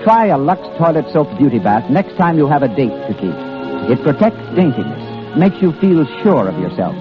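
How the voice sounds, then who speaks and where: A man's voice: on the high side, very animated, normal speed, dull audio. A man announcing a 1930s radio show in a formal, declamatory style. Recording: band-limited vintage radio with loud music. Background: music.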